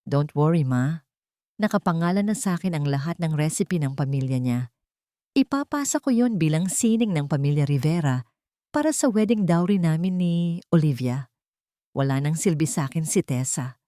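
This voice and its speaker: A man's voice: a little high, expressive, normal speed, warm tone, no echo, studio quality, natural voice. A woman voicing a cold, contemptuous husband who scornfully discards his wife in an audio love drama. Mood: cold, calculating. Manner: scornful, dismissive.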